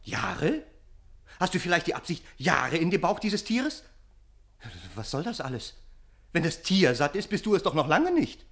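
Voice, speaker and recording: A sensible, exasperated gentleman with a fairly high voice. He puts dry, sceptical questions in a theatrical radio-play style, concerned and sober. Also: big pitch swings, normal speed, gravelly, exaggerated voice. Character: exasperated, concerned, sensible friend of a foolish man.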